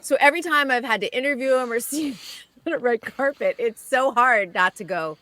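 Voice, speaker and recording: A woman guest on a radio chat show, telling gossip in an animated way. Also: fairly high pitch, brisk.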